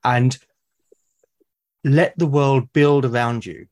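A man's voice: mid-range voice, fairly even intonation, takes it slowly, darkish tone. A British man, a podcast guest, talking in a reflective, casual way and often restarting and repeating words mid-sentence. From Britain.

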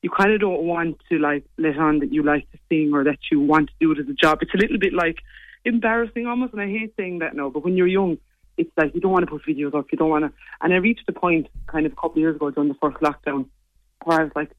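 A young Irish woman with a low voice, chatting quickly as a singer guest in a casual interview. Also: dry recording, phone-quality sound. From Ireland. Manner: quick, chatty, lots of "like" and "you know".

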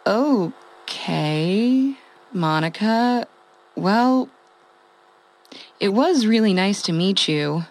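A woman's voice: medium pitch, animated, extremely slow, long pauses, a little mellow. A woman playing a wry survivor and former radio host in an audio drama, rambling, hesitant and self-deprecating as she talks. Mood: awkward, friendly. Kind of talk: audio drama, confessional log.

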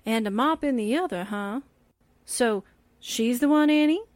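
A woman's voice: fairly high pitch, unhurried, dry recording, close to an everyday voice. A woman playing a perky, anxious newspaper sidekick in a 1940s-style radio drama. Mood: curious, teasing. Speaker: voice actor.

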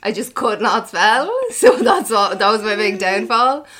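A woman's voice: mid-range voice, sing-song, a little slow, a bit bright. A woman in her twenties with an Irish accent, a native Irish speaker, chatting casually as a podcast guest and laughing often at herself. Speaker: anonymous guest.

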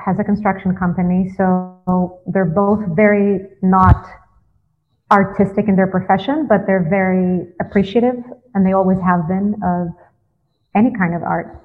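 A young woman from Greece, a guest in a casual interview, thoughtful, often pausing with fillers before she answers. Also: mid-range voice, flat delivery, soft background music. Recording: tinny phone-like line.

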